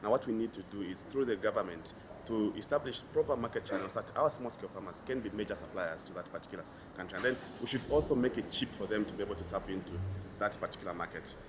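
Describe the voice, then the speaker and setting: A Zambian-accented man gives an expert comment for the news. He talks quickly in a lowish voice, with noticeable outdoor city noise around him. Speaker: policy researcher interviewed. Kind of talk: spoken news interview comment. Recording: clear outdoor urban background noise.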